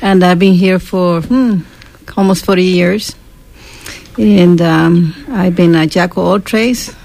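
Woman, gravelly and halting. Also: lowish voice, a little mellow. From Costa Rica. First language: Spanish. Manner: halting, searching for words. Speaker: guest. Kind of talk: radio interview. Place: radio studio.

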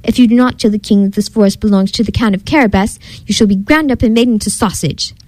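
A woman's voice: mid-range voice, fairly even intonation, quick pace, darkish tone, dry recording, natural voice, rough recording. A woman voicing a cunning, bold male talking cat servant from a storybook, in a commanding, theatrical way. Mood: commanding threat.

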